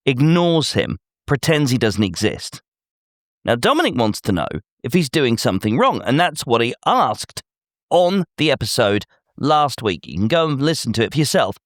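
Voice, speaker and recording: A man's voice: mid-range voice, no echo, clean studio sound. A man hosting a podcast, delivering scripted commentary in a wry, emphatic way, speaking straight to the listener. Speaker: podcast host.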